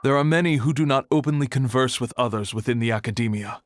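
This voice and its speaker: An actor playing a reserved, serious archive keeper in a video game, speaking in a measured, guarded way. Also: male voice, mid-range voice, lightly acted.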